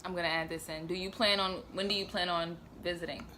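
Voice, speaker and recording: Bright-voiced woman asking short questions. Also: fairly low pitch, steady tone, fast talker, slight background noise. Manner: brief, easygoing questions. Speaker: vlog co-host asking questions. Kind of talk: casual interview.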